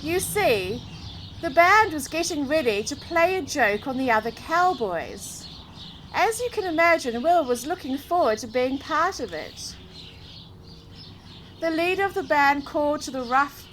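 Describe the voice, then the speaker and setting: A woman's voice: high-pitched, lively intonation, measured pace, a bit bright. A woman narrating a children's story expressively, putting questions to the listener. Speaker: storybook reader. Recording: outdoor background noise with birdsong. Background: birdsong.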